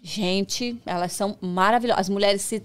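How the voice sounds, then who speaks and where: Woman podcast guest, chatty, animated, voice jumps high when excited. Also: normal speed, smooth. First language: Portuguese. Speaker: podcast guest, micropigmentation professional. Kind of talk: casual conversation.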